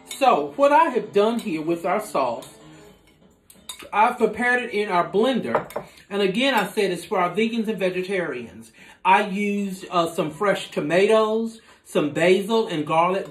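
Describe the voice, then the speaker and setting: A man in his forties hosting a home cooking demonstration, explaining each step in a relaxed, instructive way as he works at his kitchen counter. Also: sits high, reverberant. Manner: relaxed, instructive, talks while working with his hands. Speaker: cooking show host. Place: home kitchen with dining room behind, indoors.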